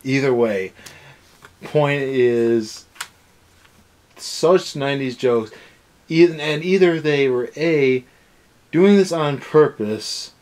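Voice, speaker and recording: A man's voice: medium pitch, slow, long pauses, darkish tone. Sarcastic young man ranting casually. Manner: sarcastic, exasperated. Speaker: anime review show co-host. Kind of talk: casual ranting review chat.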